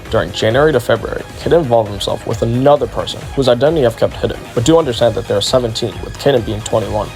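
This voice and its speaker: A man's voice: mid-range voice, creaky, a little mellow. A man narrating a scripted exposé video as its commentator.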